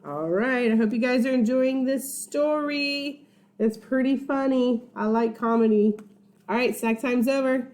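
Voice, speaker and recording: A woman's voice: a little high, measured pace, a little mellow. A woman teacher reading a children's story aloud, informally, with chatty asides and check-in questions for young listeners.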